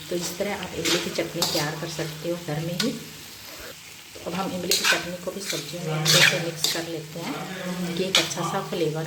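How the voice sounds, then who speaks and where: Low-voiced woman teaching home cooking, echoey. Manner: instructional, explanatory, repeats steps. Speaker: home cook presenting a recipe. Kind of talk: step-by-step cooking tutorial. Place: indoor home kitchen. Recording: roomy kitchen echo, loud cooking noise. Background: sizzling, stirring and clicks of cooking.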